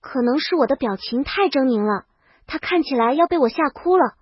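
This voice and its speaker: A woman's voice: high voice, animated, a little fast, dry recording, muffled recording. A woman narrating a comedic story in the first person, in storybook style. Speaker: audiobook narrator.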